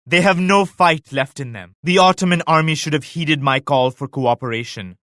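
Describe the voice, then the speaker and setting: An actor playing a devout, solemn emperor and military leader in a strategy game, with measured, grave, pious declamation. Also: male voice, a little high, fairly bright tone, no echo, studio quality, close to an everyday voice. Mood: grave, regretful. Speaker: video game character voice actor. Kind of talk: scripted strategy game voice lines.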